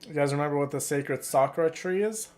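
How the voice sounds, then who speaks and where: A man's voice: fairly high pitch, fast talker, a little mellow. A man chatting casually and familiarly to his viewers while streaming a video game. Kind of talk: casual live stream chat. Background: game music.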